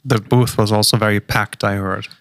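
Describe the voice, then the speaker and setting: A man's voice: on the low side, fairly even intonation, moderate pace, a bit bright, no echo, studio quality. A man on a podcast panel, chiming in with teasing, joking remarks. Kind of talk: casual podcast chat.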